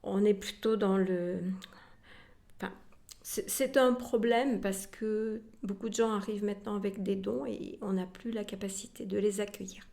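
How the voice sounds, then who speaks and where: A woman's voice: mid-range voice, fairly even intonation, normal speed, vocal fry, mellow. A woman speaking spontaneously as an interviewed museum representative, often repeating words and trailing into asides.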